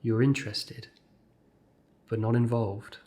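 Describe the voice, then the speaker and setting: A man leading a guided meditation as a scripted exercise, speaking in measured short phrases with gentle pauses. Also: medium pitch, steady tone.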